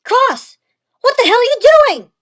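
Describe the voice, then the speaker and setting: Woman voicing an earnest high-pitched young female pup. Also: very animated, a little slow, a bit bright, heavily acted. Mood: worried. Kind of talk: amateur audio drama acting.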